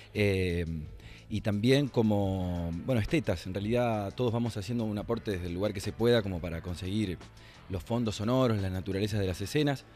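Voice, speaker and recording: Low-voiced Argentine man being interviewed. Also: darkish tone. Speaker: radio producer. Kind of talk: interview, explaining a production. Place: indoor library.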